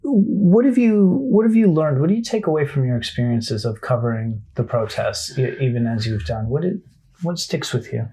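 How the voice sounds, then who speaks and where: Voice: medium pitch, lively intonation, moderate pace, warm tone, husky, rough recording. An interviewer asking brief, hesitant questions from off the mic.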